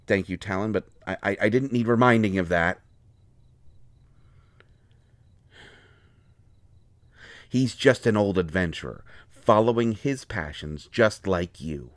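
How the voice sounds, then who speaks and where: A man playing an indignant, hurt healer mage in a fantasy roleplay audio, expressive, sarcastic and exasperated. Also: low voice, no room sound. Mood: jealous, bitter. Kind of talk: dramatic roleplay audio acting.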